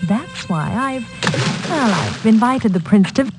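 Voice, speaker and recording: An actress playing a fussy, matronly queen mother in an animated game, speaking in an insistent manner. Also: a little high, takes it slowly. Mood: coaxing. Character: fussy, coaxing queen mother pushing marriage. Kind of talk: animated game cutscene acting. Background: loud music.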